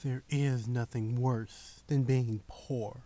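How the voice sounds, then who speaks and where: Casting: performer as an earnest young male apprentice and suitor, unhurried audio-play delivery. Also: medium pitch, steady tone, mellow, close to an everyday voice. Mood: defensive. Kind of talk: radio drama acting.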